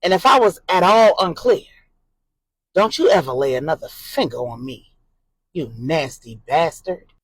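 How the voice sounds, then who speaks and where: Woman acting a firm, husky, fierce aunt. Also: low-pitched, lively intonation, a little slow, dry recording, voice pushed down, heavily acted. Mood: angry, threatening. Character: fierce yet caring woman, an aunt under pressure.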